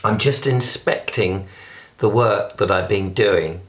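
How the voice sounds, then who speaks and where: Hoarse English man chatting on camera. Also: on the low side, slow, fairly bright tone. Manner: rambling, chatty, self-deprecating. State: hoarse, recovering from lost voice. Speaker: video blogger. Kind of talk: casual diary vlog. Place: indoors at home and in a flat.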